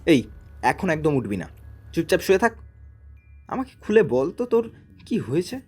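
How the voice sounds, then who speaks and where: A man's voice: fairly high pitch, sing-song, moderate pace, a little mellow, breathy edge. A man playing a protective, lecturing older brother who is a psychiatrist in an audio drama, emphatic and admonishing. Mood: concerned, firm. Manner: emphatic, admonishing, explaining at length.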